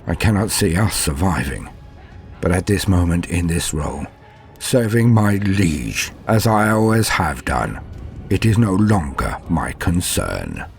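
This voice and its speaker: A man playing a frightened but dutiful knight in an audio drama, narrating gravely in archaic wording with dramatic pauses. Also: deep voice, very animated, soft background music, exaggerated voice. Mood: resigned resolve. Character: frightened but dutiful male knight recounting doomed battle.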